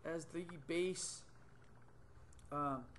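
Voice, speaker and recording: A middle-aged man teaching a 3D modeling tutorial on screen, thinking aloud with many ums and quick self-corrections, recorded on a desktop webcam mic in a small room. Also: fairly high pitch, fairly bright tone, slight background noise. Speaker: software tutor.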